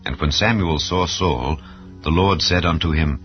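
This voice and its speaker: A man's voice: a bass voice, unhurried. A man narrating a dramatized scripture audiobook in a solemn, formal storytelling manner. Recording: slightly dull sound with background music. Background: soft instrumental background music.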